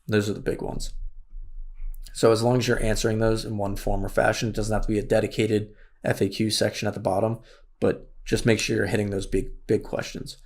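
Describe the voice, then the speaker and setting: A man with a raspy voice, losing it, casually walking through an online store tutorial with many fillers. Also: lowish voice, level tone, fairly quick, darkish tone. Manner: casual, thinks aloud with fillers. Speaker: course instructor. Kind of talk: screen-share tutorial walkthrough.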